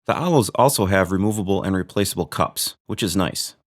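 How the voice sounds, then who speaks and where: A man's voice: deep voice, animated, a little fast. A man hosting a gear review video, narrating from a script with dry, joking asides.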